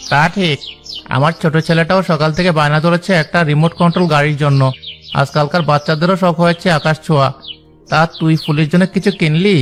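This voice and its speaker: Slightly high-voiced, sympathetic labourer friend, a man acting. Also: fairly even intonation, fast, lightly acted. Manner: earnest, reassuring. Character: poor labourer, supportive friend, sympathetic, pious. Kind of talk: cartoon drama dialogue. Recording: faint music behind the voice.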